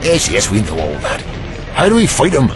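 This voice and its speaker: A man's voice: medium pitch, huge pitch swings, moderate pace, music in the background. A man with a Scottish accent playing an impatient, sardonic Prime Minister in an audio drama. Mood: impatient, urgent. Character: brusque, sarcastic Prime Minister commanding under attack.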